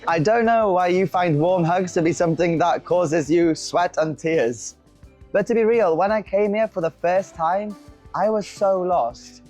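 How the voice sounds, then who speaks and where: A woman's voice: lowish voice, unhurried, darkish tone, faint music behind. A woman from North Wales, hosting and telling candid, self-deprecating stories at an open-air food centre. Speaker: host. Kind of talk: scripted conversational presenting.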